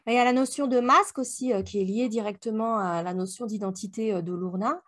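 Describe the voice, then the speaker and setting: A woman's voice: medium pitch, fairly quick, a bit bright, dry recording, clean studio sound. A woman co-hosting a book club podcast, chatting casually and reflectively, often posing rhetorical questions. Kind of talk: casual book discussion chat.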